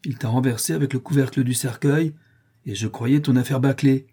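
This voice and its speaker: A man's voice: medium pitch, brisk, mellow, slightly rough. A man voicing a vengeful, threatening male grave robber. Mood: scheming. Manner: threatening, bitter.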